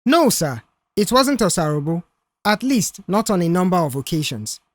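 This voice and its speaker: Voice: mid-range voice, big pitch swings, normal speed, no echo. A narrator voicing a tense, earnest young male mechanic in an audiobook story. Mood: earnest, firm.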